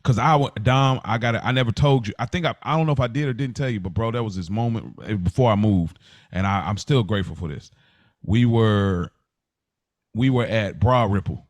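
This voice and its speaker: A man with a fairly low voice talks as a podcast co-host. His delivery is informal and slangy, with an earnest storytelling feel. The sound is dry, with very little room on it. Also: a little fast, no room sound. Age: adult. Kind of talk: casual personal storytelling.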